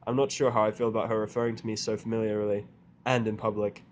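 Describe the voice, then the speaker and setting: A man's voice: on the low side, little pitch movement, fast talker, a little hiss or hum. A man narrating a first-person story in character, with a dry, sardonic manner. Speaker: audiobook narrator.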